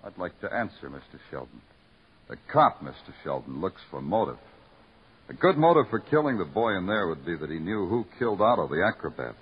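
An actor playing a dry, commanding police lieutenant in an old-time radio crime drama. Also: male voice, low-pitched, big pitch swings, brisk, husky, full character voice. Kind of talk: 1940s radio acting. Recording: muffled old radio recording, faint hiss.

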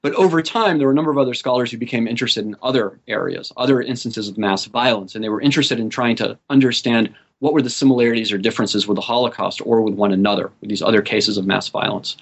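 A man who grew up in South America, speaking as a guest academic on a podcast, thoughtful and measured, with frequent fillers. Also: medium pitch, vocal fry, highs cut off. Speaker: guest author. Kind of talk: academic interview.

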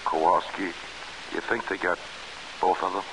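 An actor in an old-time radio drama playing an anxious escaped prisoner of war, worried and questioning, on a noisy vintage recording. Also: male voice, low-pitched, level tone, moderate pace.

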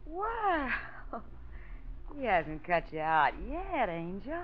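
Animated, scheming radio-drama moll. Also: female voice, mid-range voice, takes it slowly. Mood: sly, coaxing. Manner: coaxing, slangy, insinuating. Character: scheming hard-boiled girlfriend, coaxing and shrewd. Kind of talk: 1940s radio crime drama acting. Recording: muffled old radio recording, faint noise.

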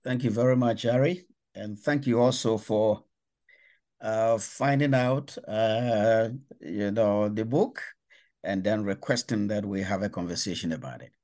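Slow older man from Ghana. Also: fairly low pitch, dark tone. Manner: slow, thoughtful, many uh fillers. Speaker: author and professor. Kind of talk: interview answers.

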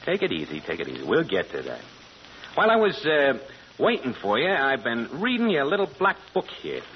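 An actor playing a brash, bullying American gangster boss in an old radio crime drama, slangy and taunting. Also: male voice, medium pitch, wildly swooping pitch, a little fast. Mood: sly taunting. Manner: slangy tough-guy talk, taunting. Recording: muffled, noisy old radio broadcast.

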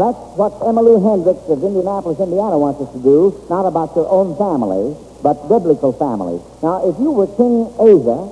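An American man hosting an old-time radio children's quiz in a folksy, halting, encouraging way. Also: sits high. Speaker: quizmaster of a children's quiz show. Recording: old radio, muffled, hissy, reverberant hall.

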